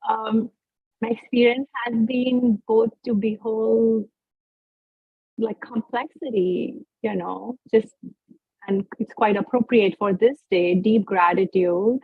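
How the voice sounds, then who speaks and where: A woman from India, a poet, reading her poem and then answering questions in a slow, measured, reflective way. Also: on the high side, steady tone, husky, no echo, phone-quality sound. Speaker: guest poet. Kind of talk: poetry reading and interview answers.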